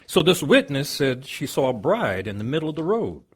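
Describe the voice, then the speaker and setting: A world-weary police lieutenant in an audio drama. His voice is rough and husky, with lively rises and falls. He talks in a brusque, businesslike way. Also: mid-range voice, moderate pace. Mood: businesslike, impatient.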